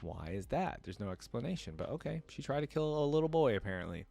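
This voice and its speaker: A man with a mid-pitched voice gives casual reaction commentary. He moves between reading aloud and thinking out loud in informal, musing asides, like an online video creator talking to viewers. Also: very animated, quick pace, vocal fry, no room sound.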